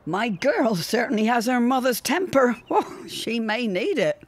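A woman's voice: a little high, expressive, measured pace. A woman playing a grieving, plain-spoken widowed mother with a folksy, effusive manner in an audio drama. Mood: wistful, fretful.

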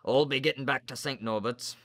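A man voicing a mean, bullying older schoolteacher in a storybook, with a gruff, scolding manner. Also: mid-range voice, a little fast, fairly bright tone, no room sound, voice pushed up, lightly acted. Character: mean, bullying older grammar teacher and orphanage escort.

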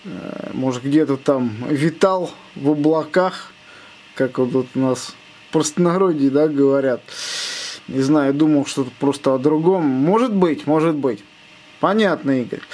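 A man's voice: on the high side, creaky, a little mellow, a little hiss or hum. A man making casual amateur radio contact, spelling out call signs in phonetic words. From Russia.

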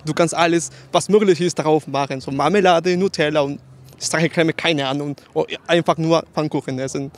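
Higher-voiced young Peruvian man. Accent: Peruvian Spanish. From Peru. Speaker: interviewee. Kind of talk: casual chat in a second language. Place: outdoors on a courtyard path. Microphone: interviewer's handheld microphone.

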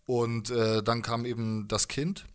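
A man's voice: mid-range voice, level tone, unhurried, clean tone. Slangy, rambling man in casual interview. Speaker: musician, interview guest. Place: living room music space, indoors. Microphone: handheld microphone.

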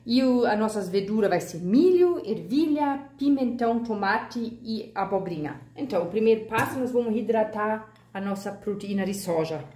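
A woman's voice: medium pitch, lively intonation, normal speed, few pauses, dark tone, echoey room, a little hiss or hum. A woman talking through a home cooking recipe step by step, chatty and homey, from her kitchen. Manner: chatty, homey, uses diminutives and fillers. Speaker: vegan cooking channel host. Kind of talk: casual step-by-step cooking tutorial.

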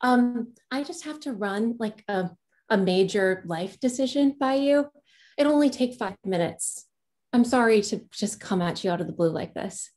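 A woman's voice: on the high side, lively intonation, moderate pace, darkish tone, lightly acted. An actress playing an eager young woman in love, talking to her therapist over a video call. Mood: excited. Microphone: video call webcam.

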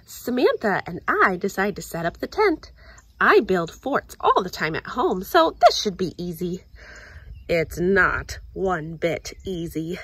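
A woman reading a children's picture book aloud in an expressive, deliberate storytelling manner. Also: lowish voice, husky, no room sound. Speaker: story time reader.

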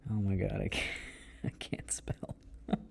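A young man in a casual boyfriend roleplay, chatting softly while he works at his computer and often trailing off into mutters and low laughs. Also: unhurried, whispered. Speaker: audio roleplay performer. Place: at home at a computer.

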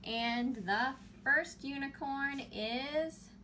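A woman's voice: high-pitched, extremely slow, sharp tone. A woman in her thirties presenting storytime to young children with simple, instructive phrasing. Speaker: children's librarian and storytime host. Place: small room at home, indoors. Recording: home video with faint background noise.

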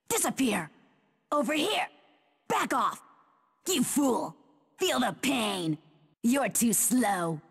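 A woman's voice: on the high side, husky, in a large hall, heavily acted. An actress playing a fierce female fighter who taunts opponents in haughty, theatrical battle lines for a video game. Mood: cruel, disdainful. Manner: haughty, theatrical, clipped declarations.

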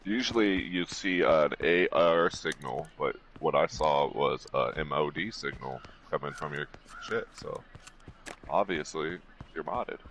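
A man's voice: rumbling low, unhurried, fairly bright tone. A man live streaming a video game, chatting casually with friends in a slangy, joking, sweary way. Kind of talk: casual gaming chat with friends. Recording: clear background game noise.

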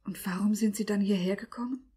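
An actress playing a gentle, well-bred young lady in a 1950s German radio drama, polite, hushed and earnest. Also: medium pitch, steady tone, brisk, slightly rough. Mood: grateful, concerned.

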